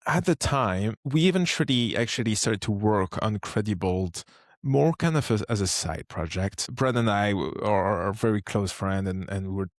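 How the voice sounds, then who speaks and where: A man in his thirties with a French accent, speaking English as a second language, chatting as an interview guest. He talks in a relaxed way, thinking aloud with frequent restarts. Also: fairly low pitch, moderate pace, no room sound, studio quality. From France. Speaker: guest, startup co-founder. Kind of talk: casual interview conversation.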